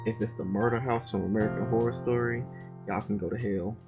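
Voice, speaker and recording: A man's voice: fairly low pitch, steady tone, brisk. A man streaming a horror visual novel, reading the narration and cracking loose, slangy jokes between lines. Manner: loose, joking asides with slang. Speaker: game streamer. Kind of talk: reading a visual novel aloud with casual commentary. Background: game music, rain and thunder.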